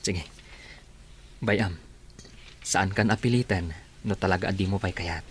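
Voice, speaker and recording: An actor playing a gently persistent young man in love, in a radio drama. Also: low-pitched, measured pace, slight background noise. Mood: hesitant, apologetic. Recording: music behind the voice.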